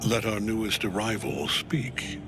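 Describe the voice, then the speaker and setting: A man playing an imperious, grim older general in a fantasy game, measured and formal. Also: lowish voice, lively intonation, music in the background.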